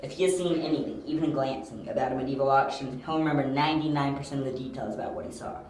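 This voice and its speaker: Man playing young detective, calculating, precise, matter-of-fact, middle pitch, amateur audio drama, roomy recording. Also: steady tone, very fast, darkish tone, a little hiss or hum, lightly acted. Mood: thoughtful.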